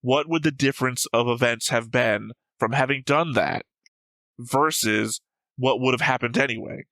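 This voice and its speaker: A man with a very bright, forceful voice at a middle pitch, recorded dry and close. He hosts a reaction show and argues through story theories casually, thinking out loud as he goes. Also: normal speed, no room sound, poor audio. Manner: argumentative, reasoning aloud, swears casually. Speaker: host of an anime reaction show. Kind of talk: casual discussion of plot theories.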